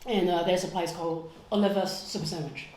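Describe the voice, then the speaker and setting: A woman's voice: fairly low pitch, steady tone, fairly quick, darkish tone, reverberant, a little hiss or hum, rough recording. A woman in her thirties from Hong Kong, a podcast guest chatting bluntly at home, swearing freely and laughing often. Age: 30s. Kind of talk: casual chat.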